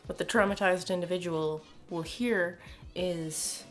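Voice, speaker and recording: Youngish canadian woman chatting opinions at the camera, kinda low voice, sardonic and fed up, music behind her. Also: steady tone, measured pace. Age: young adult. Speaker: video commentator. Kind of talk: casual opinion commentary to camera. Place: small home room, indoors.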